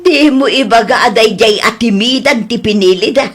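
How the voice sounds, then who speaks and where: A woman's voice: a little high, normal speed, close to an everyday voice. A woman doing a fussy, chatty mother. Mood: worried. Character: middle-aged mother, fussy and talkative. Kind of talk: radio drama acting.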